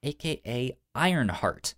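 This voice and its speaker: A man co-hosting a casual pop-culture news chat, stuttering on sounds and syllables, with halting, informal phrasing. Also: mid-range voice, very animated, fairly bright tone. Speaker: podcast co-host.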